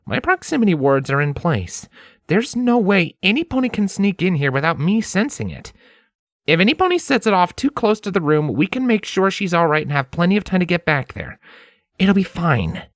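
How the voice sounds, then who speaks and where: A man's voice: high voice, big pitch swings, normal speed, no room sound, studio quality, pitched above the performer's own voice. A man voicing an optimistic, caring male pony scholar with a casual, reassuring and slightly hesitant manner for a storybook reading.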